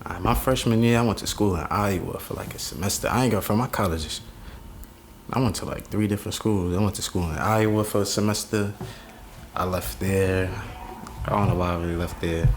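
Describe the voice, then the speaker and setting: A young man with a New York accent and a low, deep voice, speaking as a podcast guest. He talks in a relaxed, slangy way as he tells his stories. Faint background noise runs under the recording. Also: brisk. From Staten Island, New York. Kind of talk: casual interview chat.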